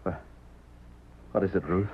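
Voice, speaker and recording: A tinny old radio recording of a timid, downtrodden rancher. His very low, husky voice has a Western drawl, halting and ashamed. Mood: shaky defiance. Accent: American Western drawl. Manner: halting, folksy, defeated.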